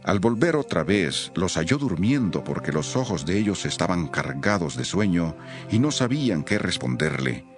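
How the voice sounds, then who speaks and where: A male narrator with a very low voice reads scripture as a solemn story. His intonation is animated and wide-ranging. Faint music plays underneath. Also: moderate pace.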